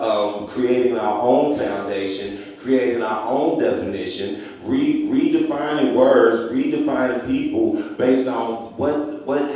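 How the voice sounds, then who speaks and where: A man's voice: medium pitch, warm tone. An emphatic man in a mid-range voice speaks, restarting his sentences as he goes. He is heard from a distance in a heavily echoing room. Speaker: guest speaker. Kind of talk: open discussion at a community event. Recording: very echoey, away from the mic.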